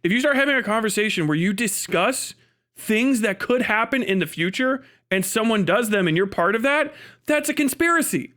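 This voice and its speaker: A man with a high voice that swings widely in pitch, delivering off-the-cuff livestream commentary. He sounds exasperated and incredulous, talking bluntly and acting out the arguments he objects to. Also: animated, normal speed, pushed and bright. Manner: exasperated, incredulous, often laughing mid-sentence; sweary, blunt. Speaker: live streamer. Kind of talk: unscripted commentary rant.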